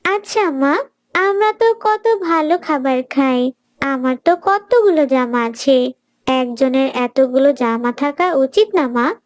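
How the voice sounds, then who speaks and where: Woman voicing an earnest high-voiced little cartoon girl. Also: expressive, slow pace, no echo. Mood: thoughtful. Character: pious, kindly little girl who gently advises. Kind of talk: children's moral cartoon voice acting.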